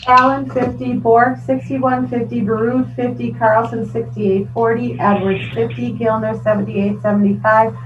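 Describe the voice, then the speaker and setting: A woman's voice: on the high side, flat delivery, normal speed, few pauses. A woman acting as county clerk, formally reading resolutions and lists of names and figures aloud. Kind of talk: reading official records aloud. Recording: tinny phone-like audio, distant, noisy.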